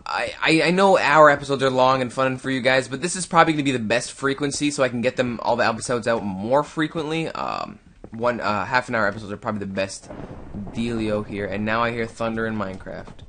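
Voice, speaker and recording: A young man with a middling pitch commentates on a game he is playing. He rambles in a casual, chatty way, drifting between talking to himself and addressing his viewers. He speaks close to a desktop microphone. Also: animated, a little fast, a bit bright. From Canada. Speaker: gaming YouTuber. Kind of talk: casual let's-play commentary.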